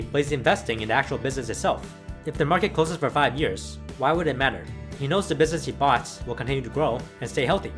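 Fast-talking male commentary narrator. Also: medium pitch. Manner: plain explanatory delivery. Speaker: channel narrator. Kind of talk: scripted voice-over commentary. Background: background music.

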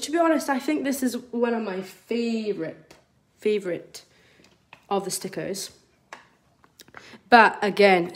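A woman from Scotland with a mild Scottish accent, a nail artist giving a live tutorial and chatting to viewers as she works, recorded on a phone over her desk. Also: a little high. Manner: chatty, reads out viewer comments while working. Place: indoor nail studio desk. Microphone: phone camera over the work table.

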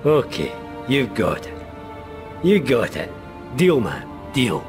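An actor playing a persuasive spy recruiter in a video game, informal, matey and insistent. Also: male voice, mid-range voice, sing-song, slow pace, over music. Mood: brisk. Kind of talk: video game cutscene acting.

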